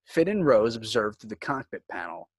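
A man's voice: mid-range voice, big pitch swings, moderate pace, breathy edge, dry recording, studio quality. A man reading scripted screenplay narration, describing scenes and action. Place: indoors on a home video call.

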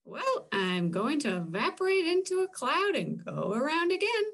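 A woman voicing a breezy, relaxed female raindrop, chirpily explaining her travels in a comic strip read-aloud. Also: high voice, moderate pace, heavily acted. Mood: carefree.

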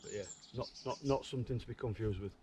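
A man, chatting casually to camera as an outdoors vlogger, unhurried and halting, often repeating words. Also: mid-range voice, warm tone. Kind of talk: casual rambling chat to camera. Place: outdoors in woodland. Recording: outdoor woodland ambience, clear background noise. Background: natural outdoor ambient noise.

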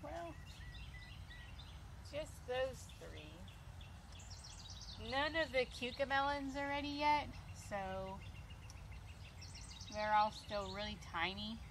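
Slow-talking woman on a garden tour. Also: on the high side, animated. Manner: rambling, frequent ums, thinking aloud. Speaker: homesteader giving a garden tour. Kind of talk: casual garden tour vlog. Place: outdoor backyard garden. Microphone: handheld phone camera. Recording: noisy outdoor phone recording. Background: birds and outdoor nature sounds.

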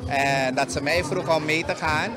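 A man's voice: a little high, fairly even intonation, normal speed, over music, a crowd in the background. A middle-aged man artist in a casual interview at a gallery opening, hesitant and repeating words, speaking into a handheld mic. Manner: hesitant, many fillers and repeats. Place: busy gallery opening, indoors.

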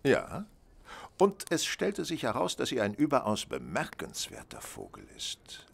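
An actor playing a shrewd, composed gentleman detective in a German radio play, measured, theatrical and politely sardonic. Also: mid-range voice, huge pitch swings, creaky, slight background noise, exaggerated voice. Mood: smug, sardonic.

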